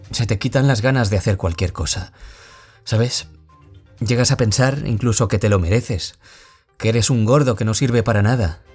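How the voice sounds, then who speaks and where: A man's voice: on the low side, darkish tone, lightly acted. An actor playing a wounded young man from Spain who was bullied, confiding his story as an audio-drama interviewee with a Spain Spanish accent. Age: young adult. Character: wounded young man, former bullying victim, anguished, confiding. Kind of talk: scripted audio-drama monologue in interview form.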